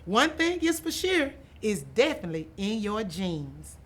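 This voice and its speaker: A woman voicing a friendly doctor in a children's story, speaking in a calm and reassuring way. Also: medium pitch, a little slow, slightly rough, exaggerated voice. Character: calm, friendly doctor soothing a little girl.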